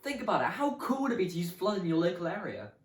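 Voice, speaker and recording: Conversational male game-review presenter, lively pitch, recorded at a distance from the mic. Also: fast talker. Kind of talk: scripted presenting to viewers. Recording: roomy, distant mic, music behind.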